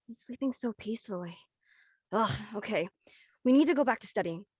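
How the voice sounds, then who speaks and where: High-voiced, stressed studious teen girl acted by a woman. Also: animated, normal speed, darkish tone, slightly rough, no room sound. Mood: exasperated. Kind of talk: online dramatic table read.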